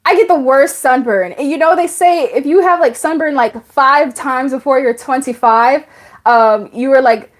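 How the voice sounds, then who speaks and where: A woman's voice: sits high, normal speed. A woman of about twenty-five hosting a casual live stream, chatting, laughing often and reading out viewer comments.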